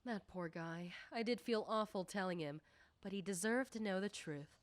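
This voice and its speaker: Woman acting a coy popular high school girl, close-up. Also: normal speed, no echo, lightly acted. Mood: indignant, self-assured. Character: confident, nosy popular high school girl, secretive but fair. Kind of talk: ASMR roleplay, speaking directly to the listener.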